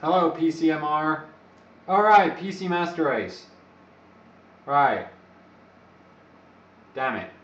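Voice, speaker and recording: A man's voice: a little high, expressive, slow, long pauses, a bit bright, distant mic. A man who is not a native English speaker, rambling and joking on a live stream to his viewers. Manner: rambling, joking, talks to himself. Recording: echoey room, away from the mic, dull lo-fi sound.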